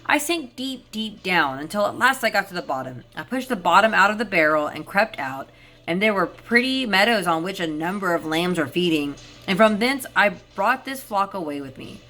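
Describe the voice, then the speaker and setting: A woman's voice: mid-range voice, lively intonation, moderate pace, bright, forceful tone. A woman voicing a crafty, poor little peasant man in an emphatic, theatrical storybook manner. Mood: slyly persuasive.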